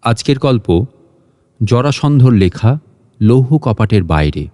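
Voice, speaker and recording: A man's voice: mid-range voice, big pitch swings, takes it slowly, mellow, no echo, clean studio sound. A man reads a literary story as a scripted audio narration. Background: guitar and instrumental music.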